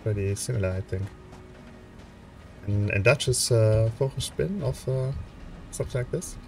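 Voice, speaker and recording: Low-voiced German man, halting and relaxed. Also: gravelly, recorded from a distance, faint music behind. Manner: hesitant, many fillers and restarts. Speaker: let's play streamer. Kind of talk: relaxed gameplay commentary with chat.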